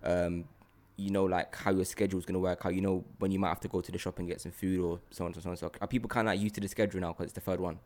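Low-voiced London man hosting a podcast. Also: flat delivery, racing, darkish tone. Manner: informal, slangy, repeats himself for emphasis. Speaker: podcast host. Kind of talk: casual chat.

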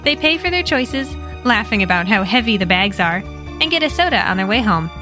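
An American woman hosting a story podcast, retelling a horror manga in a lively, expressive and wry way. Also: mid-range voice, fairly quick, over music. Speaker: podcast host and narrator.

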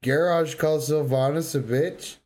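A man's voice: a little high, steady tone, unhurried, a little mellow. A man co-hosting a podcast with casual, emphatic banter, often repeating himself for emphasis. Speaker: podcast co-host.

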